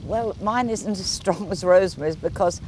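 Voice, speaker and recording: Deep-voiced older woman judge. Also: normal speed, a little mellow, a little hiss or hum. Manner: clear, careful enunciation. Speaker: talent show judge. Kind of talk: TV interview answers.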